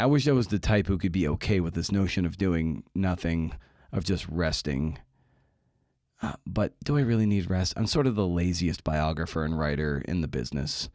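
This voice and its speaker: Casting: male podcast host, middle-aged, lowish voice, close dry sound, wry and self-deprecating. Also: darkish tone, no echo. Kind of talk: casual podcast monologue and interview.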